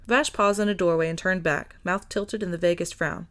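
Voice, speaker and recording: A woman's voice: fairly low pitch, steady tone, moderate pace, a bit bright. A woman reading a story aloud as scripted narration. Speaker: podfic reader.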